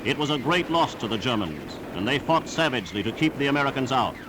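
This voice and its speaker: A man's voice: mid-range voice, very animated, fast talker, heavy background noise. A man reading scripted 1940s newsreel narration in a formal, emphatic, declamatory manner on a vintage soundtrack.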